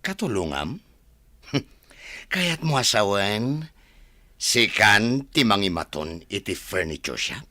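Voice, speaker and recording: A man plays a scheming young Ilocano villain in a radio drama. His low voice is sharp and forceful, with big swaggering swings of pitch and a mocking edge. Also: big pitch swings, takes it slowly, pauses often, dry recording, heavily acted. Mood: defiant.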